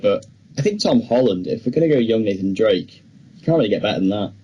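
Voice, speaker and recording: Low-voiced young man, noisy recording. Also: expressive, fast talker, muffled recording. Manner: enthusiastic, opinionated. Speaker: podcast co-host. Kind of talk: casual film chat.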